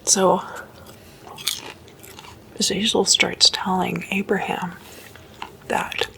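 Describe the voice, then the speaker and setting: A woman whispers an ASMR story in a hushed, informal explanatory manner. Her delivery is very slow and stays quite even, with little melodic movement. A gravelly creak runs through the voice. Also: medium pitch, a little hiss or hum. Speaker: host of a spooky ASMR series.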